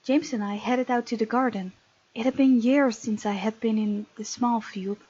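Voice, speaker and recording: A woman with a fairly high voice hosts a let's play of a story game. She reads aloud and drops in casual, chatty comments. The microphone sound is somewhat dull. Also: steady tone, moderate pace, a little mellow, breathy edge. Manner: chatty asides while reading. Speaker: gaming video host.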